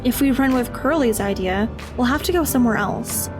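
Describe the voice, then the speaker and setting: A woman playing a reserved, level-headed teenage girl in an audio drama, measured and matter-of-fact. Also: a little high, over music, lightly acted. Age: teens.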